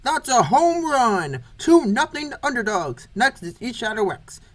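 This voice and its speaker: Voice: medium pitch, very animated, measured pace, a bit bright. A baseball play-by-play announcer reading scripted commentary for a comic dub in short, clipped phrases.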